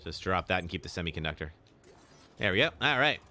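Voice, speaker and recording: A young man, slightly raspy today, chatting casually while live streaming a game and answering chat. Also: low-pitched, big pitch swings, fast talker. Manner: chatty, reacts with sudden loud exclamations; filler-heavy, answers chat. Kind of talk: live gameplay commentary.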